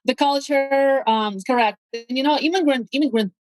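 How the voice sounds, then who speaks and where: A woman's voice: high voice, normal speed, no room sound, rough recording. A woman from Latvia with a Latvian accent, speaking English as a second language, talking as an eager guest on a casual interview and repeating words as she searches for phrasing. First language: not English. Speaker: guest real estate agent.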